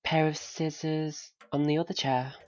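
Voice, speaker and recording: Muffled, slow, tentative man played by an actor. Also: fairly high pitch, level tone, natural voice. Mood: matter-of-fact. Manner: tentative, questioning, short replies. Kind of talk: naturalistic audio drama dialogue.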